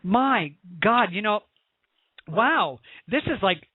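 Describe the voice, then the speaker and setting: A man's voice: sits high, expressive, slow, slightly rough, no echo. An American man hosting a casual interview show, effusive and theatrical, with a teasing way of talking. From United States. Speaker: talk show host. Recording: tinny, phone-like narrow-band audio.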